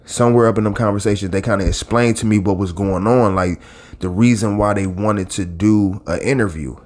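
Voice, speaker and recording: A man's voice: lowish voice, fairly even intonation, brisk, darkish tone. A man in his late thirties, a channel host, talking casually and at length to his audience in a rambling, conversational way. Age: late 30s. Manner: rambling and conversational, with many restarts and asides. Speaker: YouTube blogger and channel host. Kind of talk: casual talk straight to camera.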